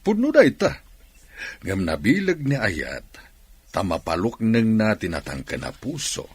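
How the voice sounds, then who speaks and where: A man's voice: lowish voice, measured pace, slightly rough, heavily acted. An actor playing a bantering male police chief in a radio drama. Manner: animated, laughing. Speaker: police boss. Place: studio.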